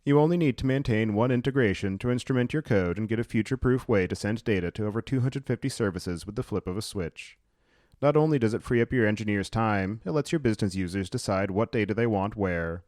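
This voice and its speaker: Low-voiced man hosting, very dry sound. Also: darkish tone, no echo. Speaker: podcast host. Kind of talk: scripted podcast read and interview.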